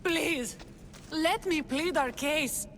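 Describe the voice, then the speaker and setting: Desperate peasant woman begging, really high voice, big echoing reverb, game scene. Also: drawn-out words, fairly bright tone, heavily acted. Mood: frightened, pleading. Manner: pleading, distraught. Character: desperate, pleading starving peasant woman of ancient Greece. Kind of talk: video game cutscene acting. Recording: long reverb, faint noise.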